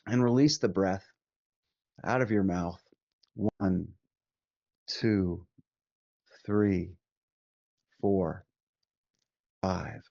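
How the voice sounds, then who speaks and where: A man leading a guided meditation as a teacher, speaking gently and soothingly with long pauses between short instructions. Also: low-pitched, gravelly, no echo, highs cut off.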